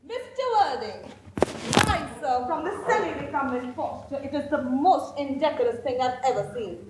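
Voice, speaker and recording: A woman's voice: sits high, lively intonation, moderate pace. A woman playing a stern, haughty middle-aged aristocratic mother in a stage comedy, formal and commanding. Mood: scandalised. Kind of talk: theatrical stage comedy of manners. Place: indoor stage performance. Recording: roomy, clearly noisy stage recording.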